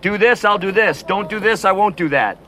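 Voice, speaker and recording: A man preaching loudly on the street outside a concert, without an amplifier, calling out to passers-by as neighbors. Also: sits high, normal speed, slight background noise. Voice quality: loud voice. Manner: forceful, repeatedly addressing "neighbors". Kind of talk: loud outdoor street preaching.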